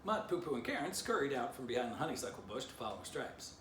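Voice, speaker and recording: A man, a children's author, reading his storybook aloud in expressive narration. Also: medium pitch, quick pace. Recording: distant mic, roomy, background noise.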